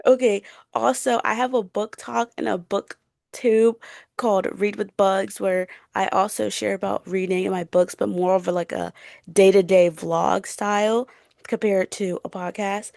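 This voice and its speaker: Creaky-voiced young woman chatting on a podcast. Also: medium pitch, fairly even intonation, unhurried, darkish tone, no echo, studio quality. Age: around 20. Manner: giggly, slangy, filler-heavy. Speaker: podcast co-host. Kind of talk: casual chat.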